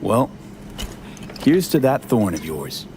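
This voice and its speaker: An actor playing a laconic, hardened mercenary in a video game, terse and understated. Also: male voice, fairly low pitch, big pitch swings, slow, noisy background. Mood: quiet, reflective. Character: laconic, hardened male mercenary, former nomad. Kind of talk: video game cutscene acting.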